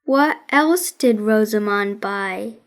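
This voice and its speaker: A woman voicing a serious, self-important boy detective in a deadpan, deliberate manner for a children's story. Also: mid-range voice, smooth, pitched below the performer's own voice.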